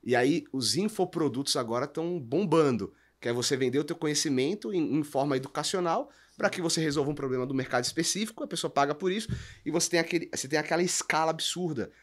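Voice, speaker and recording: A man's voice: a little high, fast. A man with a Brazilian accent explaining business as a podcast guest, emphatic and didactic, often posing rhetorical questions. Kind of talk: conversational business explanation with examples.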